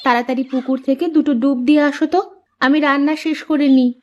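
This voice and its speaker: A woman voicing a practical village housewife in a cartoon, colloquial and gently bossy. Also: sits high, steady tone, fast, dull audio, a little hiss or hum, natural voice. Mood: brisk. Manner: colloquial, instructing, matter-of-fact. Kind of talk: cartoon character dialogue.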